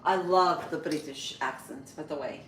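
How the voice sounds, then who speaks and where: Woman, 30s, Spanish-influenced accent, lower voice; rambling, friendly livestream host reading out comments. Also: normal speed, slight background noise, poor audio. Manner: chatty, rambling, answers viewer comments as she goes. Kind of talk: casual morning livestream chat while cooking.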